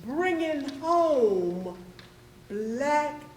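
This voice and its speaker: A woman's voice: high-pitched, very animated, a little mellow, a little hiss or hum, heavily acted. A woman playing an exultant, greedy figure in a lilting, half-sung verse recital, distant in an echoey room. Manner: lilting, drawn-out chanting.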